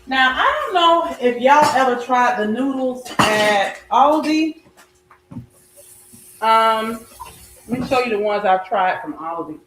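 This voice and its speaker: A middle-aged woman chatting casually as she cooks, her voice slightly raspy as it recovers. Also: on the high side, sing-song, slow, echoey room, poor audio. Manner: chatty, warm greetings and blessings to viewers. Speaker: health coach and vlogger. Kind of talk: casual cooking vlog chat. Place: home kitchen.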